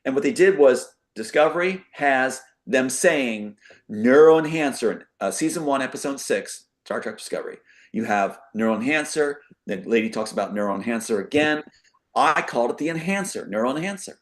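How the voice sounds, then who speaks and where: A man's voice: mid-range voice, lively intonation, moderate pace. A man speaking as a talk show guest in a casual, rambling monologue, emphatic and given to acting out quotes as he explains his theories.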